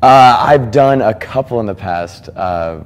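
A man's voice: fairly low pitch, lively intonation. A man, an actor guest on a casual studio talk show, easygoing, with a handheld mic. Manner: easygoing, quick talker. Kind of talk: casual interview. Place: studio set. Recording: long reverb, faint ambient noise.

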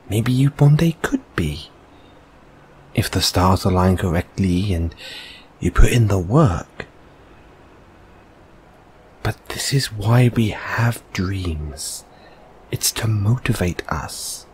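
A man playing a gentle, encouraging boyfriend in a comfort audio roleplay, speaking softly and haltingly with reassuring tag questions. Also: lowish voice, slight background noise.

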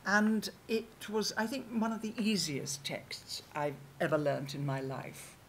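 Older actress, gravelly voice, effusive, panel talk in a theatre. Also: female voice, medium pitch, animated, a little slow, a little hiss or hum. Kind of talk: panel discussion on stage. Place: dark theatre stage, panel at a table.

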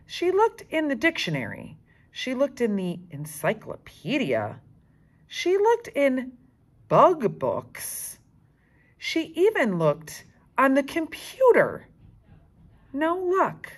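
Slow, very animated woman reading aloud. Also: medium pitch. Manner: expressive, deliberate reading. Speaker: teacher reading aloud to children. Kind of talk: read-aloud storytelling with explanatory asides. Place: school classroom, indoors.

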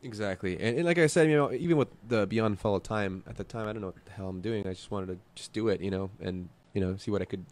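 Male guest singer, low voice, relaxed, chuckles a lot, lots of "you know", small studio desk mic. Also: quick pace, hardly any pauses. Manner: laid-back, laughs often, fills with "you know". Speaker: band vocalist guest. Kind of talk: casual podcast chat. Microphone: desk mic with headphones.

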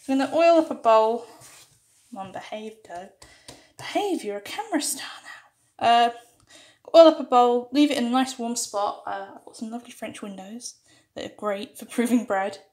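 A woman's voice: on the high side, normal speed, a little mellow. A young woman chatting casually through a home baking demonstration, upbeat and playful, with plenty of filler ums and little history asides. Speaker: home cooking vlogger. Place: small home kitchen, indoors. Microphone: camera mic on the counter, speaker moving around. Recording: echoey kitchen room sound.

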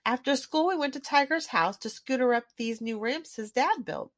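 A woman reading a children's storybook aloud in an expressive storytelling manner. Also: high voice, moderate pace, no echo, clean studio sound. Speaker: children's book reader. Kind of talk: scripted storybook read-aloud.

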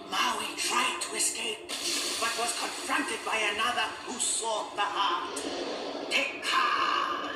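A man reading a storybook as narrator in a measured, theatrical, dramatic style. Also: sits high. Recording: distant, roomy sound under loud music. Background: loud music.

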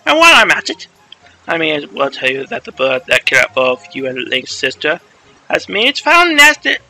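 A man voicing a stern, smug bird-man who lectures deliberately and sarcastically in a video game story. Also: on the high side, wildly swooping pitch, creaky, sharp tone, pitched below the performer's own voice, exaggerated voice, poor audio. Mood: matter-of-fact.

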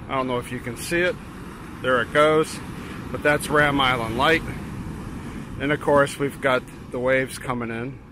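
A man in his fifties from Portland, Maine, talking casually as he sets up a photograph on a rocky beach at dawn, explaining as he works. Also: mid-range voice. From Portland, Maine, USA. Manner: relaxed, explaining as he works, many fillers and restarts. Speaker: landscape photographer. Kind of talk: casual vlog narration while shooting. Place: rocky beach outdoors at dawn, waves behind. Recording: outdoor noise from waves. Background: surf and ambient noise.

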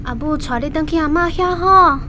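Woman playing a gentle high-voiced young Tainan mother. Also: brisk. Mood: soothing. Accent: Tainan Taiwanese. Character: gentle young mother soothing her toddler. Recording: faint vehicle noise behind. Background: bus or car noise.

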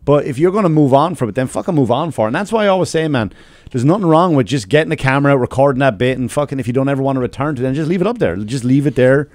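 A man's voice: medium pitch, expressive, rapid-fire, a little mellow, no echo. A man with an Irish accent telling stories casually and emphatically on a podcast, swearing freely. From Ireland. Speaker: comedian. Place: small podcast studio. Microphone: close studio microphone with headphones.

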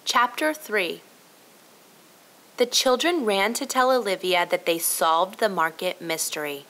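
A woman narrating a scripted children's storybook, telling it clearly for young listeners. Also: mid-range voice, measured pace, sharp tone.

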